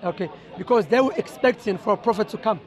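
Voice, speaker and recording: Man, very high voice, insistent street preacher, repeats words, outdoor park, close handheld camera, crowd murmur behind. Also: quick pace, darkish tone. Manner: insistent, repeats words, reads scripture aloud. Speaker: street preacher debating a passer-by. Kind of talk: street debate. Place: outdoors in a busy city park. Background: crowd chatter.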